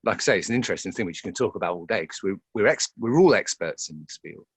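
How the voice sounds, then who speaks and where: Low-voiced, halting man in thoughtful conversation. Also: expressive, husky, dry recording. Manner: halting and searching, often repeating words. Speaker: interview guest, actor. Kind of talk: reflective, informal interview conversation.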